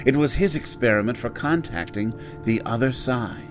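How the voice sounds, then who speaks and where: Male actor as sinister gentleman innkeeper, horror audio drama, formal, measured, genteel, medium pitch, background music. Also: close to an everyday voice. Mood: grave storytelling. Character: courtly, ominous master of a haunted mansion inn.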